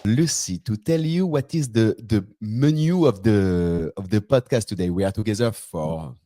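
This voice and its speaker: Man, French accent, lowish voice, hesitant, dry close sound. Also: normal speed, mellow, no echo. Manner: hesitant, searching for English words. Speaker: podcast host. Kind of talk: interview intro and questions.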